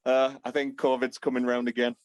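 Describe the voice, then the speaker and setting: A man in a casual chat among fans, measured and thinking aloud as he talks. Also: mid-range voice, completely flat delivery, smooth, no room sound. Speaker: podcast guest.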